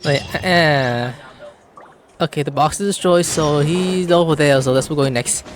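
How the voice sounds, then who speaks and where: A woman hosting a gaming video, reading dialogue aloud and commenting in an expressive, reactive way. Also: low-pitched, normal speed, slight background noise. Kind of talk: animated let's play, dubbing dialogue aloud and commenting. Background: game music.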